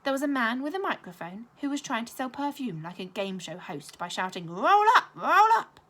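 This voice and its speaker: A woman reading a children's story aloud like a primary school teacher, expressive and clearly paced. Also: on the high side, brisk.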